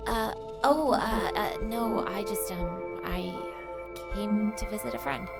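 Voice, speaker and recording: A woman's voice: medium pitch, slow pace, gravelly, music in the background. A woman voicing a nervous, flustered young woman who stammers hesitantly in a story game.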